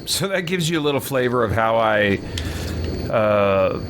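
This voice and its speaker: A man's voice: mid-range voice, expressive, a little slow, noisy background. A man hosting a livestream, chatting casually as he plays in a hesitant, musing, wry way. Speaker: game streamer, host. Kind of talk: casual livestream gameplay chat.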